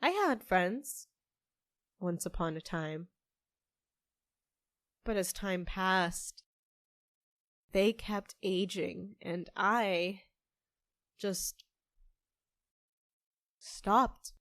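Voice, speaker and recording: A woman's voice: medium pitch, extremely slow, long pauses, fairly bright tone, no room sound, studio quality. A woman playing a stressed, stubborn barista in an audio drama, with a wry, defensive, casual way of talking. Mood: wistful. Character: stressed, stubborn half-human young-looking woman barista, grieving, wry.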